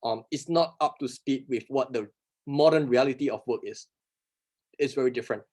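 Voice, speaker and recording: A man who is not a native English speaker answers workshop questions, talking fast and emphatically, often asking and answering his own rhetorical questions. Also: mid-range voice, darkish tone. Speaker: workshop instructor.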